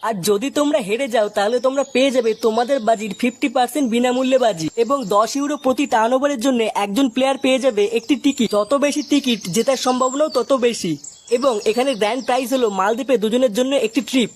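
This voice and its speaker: A man's voice: very high voice, fast, a little hiss or hum. A man delivering a scripted promotional read as an advert presenter.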